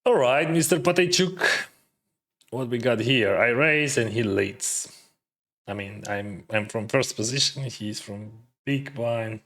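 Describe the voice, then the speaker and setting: A man's voice: medium pitch, unhurried, a bit bright. Chatty man thinking aloud mid-game. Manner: mildly accented non-native English. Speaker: poker streamer. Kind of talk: casual live-stream commentary.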